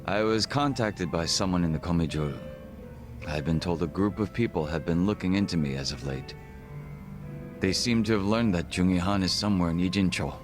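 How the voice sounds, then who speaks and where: An actor playing a composed, formal gangster second-in-command in a video game cutscene, measured and reserved. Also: male voice, low-pitched, over music, natural voice.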